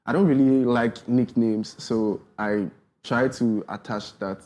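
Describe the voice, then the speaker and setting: A young Ghanaian man talks easily about his music as an interview guest, his voice mellow and warm. His pace is unhurried and relaxed, and he keeps checking in with "do you understand?". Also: medium pitch. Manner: laid-back, often asks "do you understand?". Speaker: rapper guest. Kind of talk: casual interview chat.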